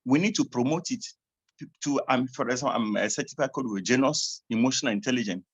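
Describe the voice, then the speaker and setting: A man's voice: mid-range voice, animated, fast, breathy edge, muffled recording. A French-speaking African man, a certified coach, talking hesitantly on a video call with a French accent. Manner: hesitant, with fillers and restarts.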